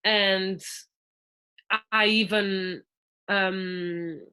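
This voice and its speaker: A young Portuguese woman in her twenties talks as a podcast guest, her accent plain and her voice bright and forward. She chats loosely, leaning on fillers and restarting her sentences. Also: medium pitch, steady tone, extremely slow, clean tone. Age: 20s. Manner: chatty, with frequent fillers and restarts. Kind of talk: casual podcast interview.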